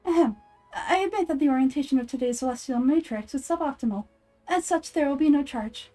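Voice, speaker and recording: Woman putting on a grandiose high-voiced fortune teller. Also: animated, warm tone, faint music behind. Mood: formal. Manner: grandiose, drawn-out, oracular. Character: theatrical mystical fortune teller with pompous archaic speech.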